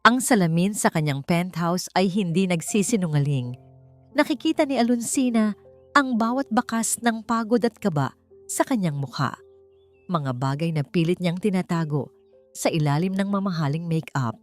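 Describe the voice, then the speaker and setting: Audiobook narrator: woman, measured and dramatic, slightly low voice. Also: expressive. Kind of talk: scripted story narration. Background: soft background music.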